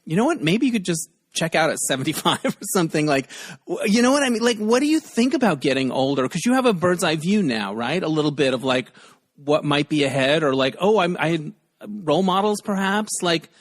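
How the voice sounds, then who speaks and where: A man's voice: on the high side, expressive, a little fast. A man of sixty-one hosting a podcast, interviewing in a casual, conversational way. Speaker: podcast host. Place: video call.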